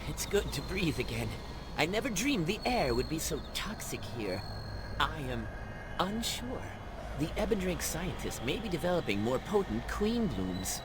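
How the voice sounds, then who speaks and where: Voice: medium pitch, big pitch swings, moderate pace, noisy background, natural voice. An actor voicing an earnest, composed spiritual ally who guides a warrior in a video game. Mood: calm, solemn. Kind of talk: video game cinematic acting. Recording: loud game music behind the voice. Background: loud game music.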